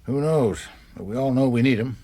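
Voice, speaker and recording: An actor playing an anxious party fixer in a radio drama, with a husky voice and a hesitant, pleading, cajoling manner. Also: male voice, mid-range voice, normal speed, warm tone, natural voice.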